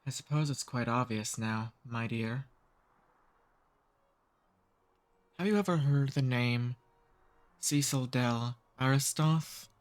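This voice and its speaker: Casting: male actor for an ancient noble vampire, mid-pitched male voice, charming and softly dominant, intimate ASMR roleplay. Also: close to an everyday voice. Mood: predatory, soothing. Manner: courtly, slow seductive teasing.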